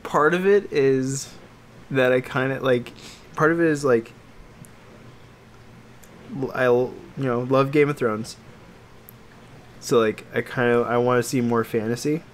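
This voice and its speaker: A man co-hosting a podcast in casual chat, talking like an excitable fan who swears freely. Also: mid-range voice, unhurried, pauses often, a little hiss or hum. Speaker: podcast co-host.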